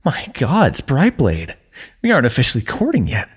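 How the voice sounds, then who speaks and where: A man performing an intimate fantasy roleplay for ASMR, speaking softly in a teasing, affectionate way. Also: mid-range voice, wildly swooping pitch, normal speed, slightly rough. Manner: soft, teasing and affectionate, with frequent light laughs.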